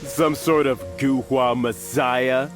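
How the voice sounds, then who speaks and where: A man's voice: mid-range voice, steady tone, takes it slowly, music in the background, natural voice. A man voicing a bullying thug enforcer in a video game, scornful and given to derisive laughter. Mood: mocking.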